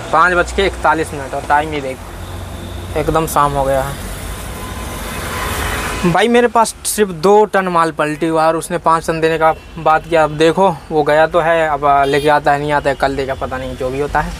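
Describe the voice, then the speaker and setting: A man, chatting casually and informally to viewers as a truck-driver vlogger. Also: a little high. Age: 20s. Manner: informal, addresses viewers as brother. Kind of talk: casual vlog chat to viewers. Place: parked truck cab and roadside outdoors. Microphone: phone at arm's length. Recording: clear traffic and vehicle noise. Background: vehicles and urban street ambience.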